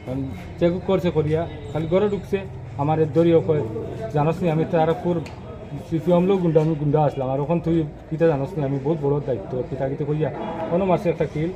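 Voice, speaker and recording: Higher-voiced Tripura Bengali man, crowd behind. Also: fairly even intonation, fast, muffled recording. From Tripura, India. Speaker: bicycle repairer being interviewed. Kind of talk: halting unscripted answers to a reporter. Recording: outdoor street with crowd noise.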